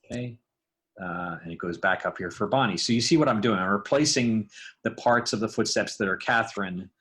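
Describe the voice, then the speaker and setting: A man narrating a casual software tutorial, informally thinking aloud as he works. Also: lowish voice, a little fast, slightly rough. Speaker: podcast creator and sound designer. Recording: background noise, mouse clicking.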